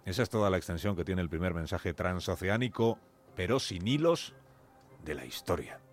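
A man narrates a dramatic radio story in a low voice with a Castilian Spanish accent. His delivery is scripted and deliberate, building suspense phrase by phrase. Faint music plays behind him. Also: animated.

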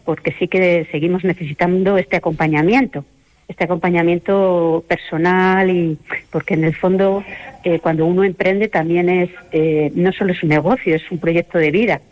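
A woman's voice: fairly low pitch, fairly even intonation, normal speed, highs cut off. A woman in her fifties from Madrid, a rural development worker talking as a radio guest, hesitant with many fillers and little laughs. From Madrid, Spain.

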